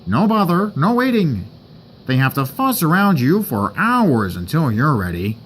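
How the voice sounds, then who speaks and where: A man's voice: on the high side, very animated, a little slow, fairly bright tone, slight background noise, poor audio. A man voicing a snooty, boastful diesel engine that talks with smug condescension, in a homemade story.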